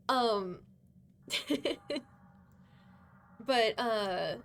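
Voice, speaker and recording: Slow, giggly young woman streamer, voice going. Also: a little high, vocal fry, a bit bright. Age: young adult. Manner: rambling and giggly, full of fillers. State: losing her voice. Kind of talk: casual live-stream chat while gaming. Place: her bedroom.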